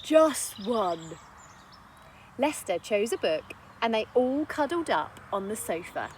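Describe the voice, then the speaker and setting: A woman narrating a children's story in an expressive read-aloud style. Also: on the high side, moderate pace, a little hiss or hum. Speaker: storybook reader.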